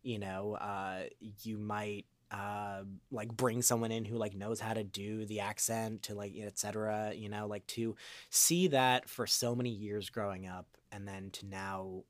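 Male interview guest, voice actor. Fairly deep, dryly recorded, earnest delivery that wanders and stalls. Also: little pitch movement, no echo. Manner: halting, rambling, earnest. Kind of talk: reflective interview talk.

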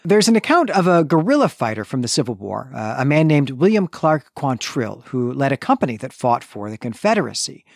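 Man, podcast co-host, casual book recap, conversational with hedging asides, medium pitch, close dry sound. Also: sing-song, a little fast, vocal fry, hardly any pauses, no room sound.

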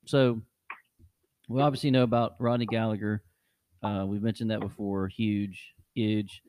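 A man's voice: on the low side, flat delivery, measured pace, a little mellow, clear, resonant tone, dry recording, studio quality. A man hosting a casual sports podcast, loose and chatty, repeating words for emphasis. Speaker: podcast host.

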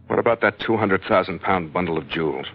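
An actor playing a big, tough ship's skipper in a terse, commanding, old-time radio adventure style. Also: male voice, low voice, quick pace, husky. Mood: low, serious. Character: big, tough, hard-boiled male ship's skipper, narrating his adventures. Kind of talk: 1940s-50s radio adventure acting, clipped first-person narration. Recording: dull old radio recording, loud music behind.

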